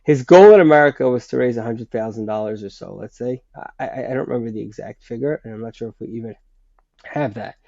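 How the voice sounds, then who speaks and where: A man with a sinus infection explaining history in a conversational way, pausing often and restarting his sentences. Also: mid-range voice, vocal fry, dark tone, no room sound. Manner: hesitant, many restarts and fillers. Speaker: guest writer. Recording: dull, lo-fi sound.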